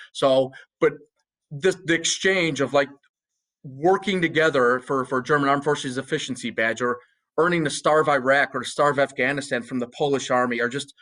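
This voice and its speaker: A man's voice: mid-range voice, fairly bright tone. An American man, a military panelist, sharing informal personal remarks in an American accent.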